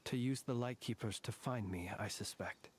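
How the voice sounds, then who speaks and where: An actor playing a grave, courtly soldier in a video game, speaking in formal, measured, deliberate phrases. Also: male voice, medium pitch, husky, natural voice. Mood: composed, formal. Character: grave, composed, courtly male soldier, quietly vengeful. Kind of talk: scripted video game voice acting. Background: game music.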